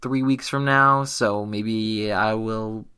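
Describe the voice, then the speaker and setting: A man's voice: on the low side, steady tone, takes it slowly, pushed and bright, clean tone. A man chatting casually as a podcast co-host, with a jokey, self-deprecating manner.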